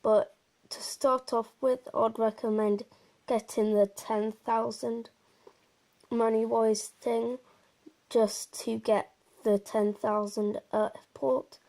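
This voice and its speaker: A woman's voice: on the high side, little pitch movement, takes it slowly, darkish tone. A woman giving a casual gameplay commentary, explaining things in an informal, meandering and slightly hesitant way. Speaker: presenter of a game walkthrough.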